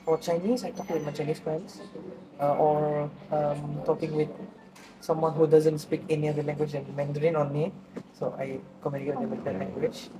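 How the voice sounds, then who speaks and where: A man's voice: on the high side, little pitch movement, normal speed, over music, rough recording. A young man from Malaysia whose first language is Tamil, answering interview questions about the languages he speaks with many fillers and restarts. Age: young adult. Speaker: interviewee, student.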